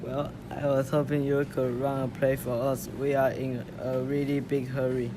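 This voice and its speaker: An earnest young rabbit police officer acted by a man in an amateur radio play. The voice is medium-pitched and fairly monotone, polite yet impatient, on a clearly noisy recording. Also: lightly acted. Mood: polite, pressed. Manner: polite but impatient, hurried.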